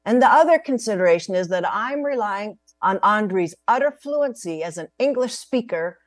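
A woman's voice: medium pitch, expressive, normal speed, dry recording, clean studio sound. Woman hosting a radio interview. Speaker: host. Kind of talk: formal interview introduction. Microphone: video call.

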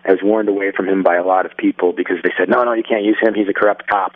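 A man's voice: low voice, steady tone, rapid-fire, no room sound. A young man, a filmmaker, answering interview questions on a phone line, thoughtful and full of restarts. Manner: thoughtful, many restarts and fillers. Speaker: filmmaker guest. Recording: phone-line sound, dry.

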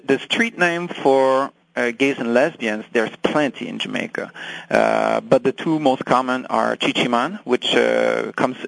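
Man, French accent, native French speaker, very gravelly voice, halting and searching for words, dry close sound. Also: mid-range voice, fairly bright tone, no echo, low-quality recording. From France. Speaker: guest. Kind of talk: interview answers.

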